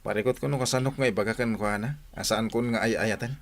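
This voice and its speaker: A man's voice: on the low side, quick pace, close to an everyday voice. A man acting a teasing, plain-spoken young friend with an Ilocano accent in a radio comedy-drama, bantering and emphatic. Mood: troubled, confiding.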